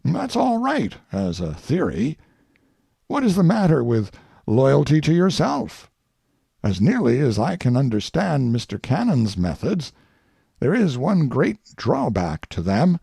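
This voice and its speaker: A man reading as a wry, self-satisfied humorist giving an after-dinner speech, droll and deliberate, with oratorical pauses and a gravelly voice. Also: medium pitch, huge pitch swings, dark tone, dry recording, clean studio sound, full character voice. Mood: droll, assured.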